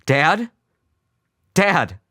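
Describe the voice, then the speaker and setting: A man's voice: on the low side, sing-song, moderate pace, a bit bright, no echo, clean studio sound, voice pushed up. Man acting an emotional, exasperated grown son. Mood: frantic. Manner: pleading, emotional, sighing. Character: unhappy, exasperated adult son, emotionally fragile.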